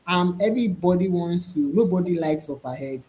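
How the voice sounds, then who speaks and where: A man's voice: high-pitched, animated, moderate pace, dark tone, a little hiss or hum, rough recording. Man, chatty and hesitant. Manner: hesitant restarts, frequent "right?" and "to be honest". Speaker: panelist. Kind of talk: casual roundtable discussion.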